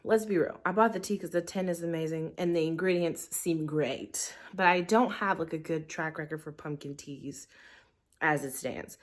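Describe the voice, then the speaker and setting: A woman's voice: deep voice, moderate pace. A congested young woman reviewing a tea in her living room, sounding a little nasal and talking casually with lots of ums. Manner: chatty, rambling, many ums. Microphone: camera in portrait mode.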